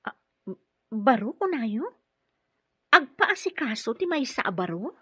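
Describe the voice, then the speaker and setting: A woman's voice: on the high side, very animated, measured pace, a bit bright, slightly rough, dry recording, highs cut off, full character voice. An actress playing an earnest, polite nurse and single mother in a radio drama, slightly hesitant and questioning, with a Filipino accent. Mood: hesitant, curious. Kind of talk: Ilocano radio drama acting.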